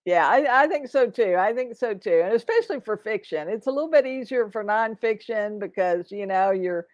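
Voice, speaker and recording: A woman's voice: medium pitch, animated, normal speed, no room sound. A woman hosting an interview podcast, chatty and affirming, running long sentences together over a video call. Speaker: podcast host. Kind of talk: casual interview chat. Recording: dry, dull lo-fi sound.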